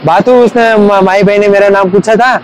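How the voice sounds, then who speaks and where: A young man from Gujarat, a cricket fan, talking eagerly and haltingly in a casual outdoor street interview. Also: high voice, steady tone, crowd noise, low-quality recording. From Gujarat, India. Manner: eager, halting, with many restarts.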